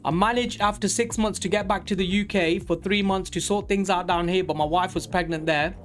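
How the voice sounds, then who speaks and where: A man of forty talking casually and candidly on a live trading stream, rambling with many pauses. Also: high voice, steady tone, faint music behind. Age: 40s. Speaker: livestream day trader.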